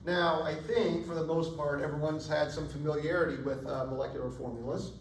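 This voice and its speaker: Hoarse male chemistry lecturer in echoey hall. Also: a little high, little pitch movement, a little fast. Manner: casual, checks in often with "right?". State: hoarse, losing his voice. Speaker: chemistry instructor. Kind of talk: classroom lecture. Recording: echoey lecture hall, distant mic, faint room noise.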